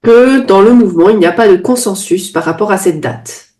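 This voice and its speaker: A woman's voice: mid-range voice, mellow. Woman interpreting a sermon. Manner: quick restating, occasional self-corrections.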